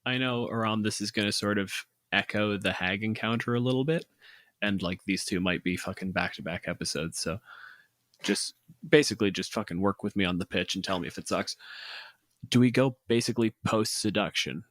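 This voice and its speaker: A man with a fairly low voice talks through ideas in a casual planning chat. He is halting as he thinks aloud and swears easily, recorded dry and close. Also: a bit bright, no echo.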